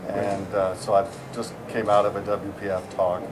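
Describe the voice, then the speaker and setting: A man's voice: on the low side, monotone, moderate pace, noisy background. A middle-aged American man from San Diego, chatting casually at a conference as an interviewee, rambling with many fillers and restarts. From San Diego, USA. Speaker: interviewee, software engineer. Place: busy conference venue.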